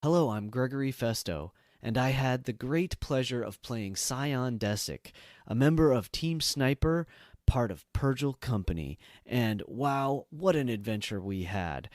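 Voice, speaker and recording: A man's voice: medium pitch, lively intonation, a little slow, no room sound, clean studio sound. A man introducing himself casually as a podcast guest and tabletop player.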